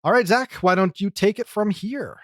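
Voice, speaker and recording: A man's voice: high voice, normal speed, a bit bright, no room sound, studio quality. A man co-hosting a podcast, offering conversational commentary and introductions. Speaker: podcast host. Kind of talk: podcast discussion.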